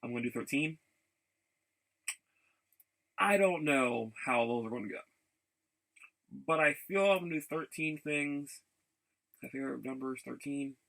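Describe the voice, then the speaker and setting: A man giving a casual, rambling vlog update in a gravelly voice, haltingly and often repeating his phrases. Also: medium pitch, a bit bright, rough recording. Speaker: video blogger.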